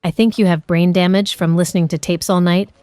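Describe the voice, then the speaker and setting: A woman's voice: lowish voice, steady tone, fairly quick, a little mellow, smooth, no echo, natural voice. A woman playing a sceptical, smart-mouthed office coworker in an audio drama, teasing and dismissive.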